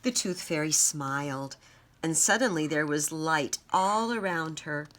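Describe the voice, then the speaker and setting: Animated, bright-voiced woman reading to children. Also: sits low, slight background noise. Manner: deliberate, clearly emphasised phrasing for children. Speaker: storyteller and teacher. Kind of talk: children's read-aloud and simple lesson.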